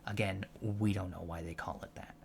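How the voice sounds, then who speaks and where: Soft-spoken, creaky low-voiced alien lecturer played by a man. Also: fairly even intonation, a little hiss or hum. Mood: earnest, explanatory. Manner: hesitant, gently formal, deliberate pauses. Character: male alien college lecturer on human studies, earnest and measured.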